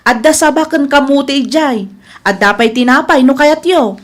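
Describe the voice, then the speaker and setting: A woman's voice: high-pitched, normal speed, natural voice. A woman with an Ilocano accent playing an artless younger sister-in-law and mother in a radio comedy drama.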